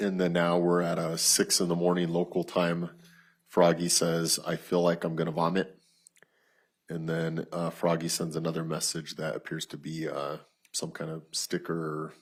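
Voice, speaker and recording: Deep, monotone, husky-voiced man testifying. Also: moderate pace. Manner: flat, procedural, matter-of-fact. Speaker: lead detective testifying as witness. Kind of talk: courtroom testimony, reading messages aloud. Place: courtroom.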